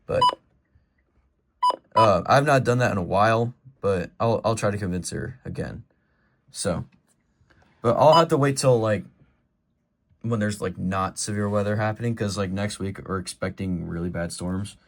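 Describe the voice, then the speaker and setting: A man's voice: fairly low pitch, normal speed, long pauses, a little hiss or hum. A man giving a casual, rambling show-and-tell as a collector, in an informal and opinionated way. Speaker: collector presenting a weather radio collection.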